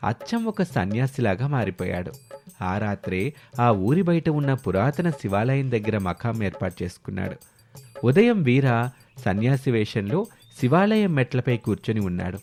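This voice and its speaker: A man's voice: on the low side, sing-song, normal speed, darkish tone, soft background music. A man telling a scripted moral tale as storyteller.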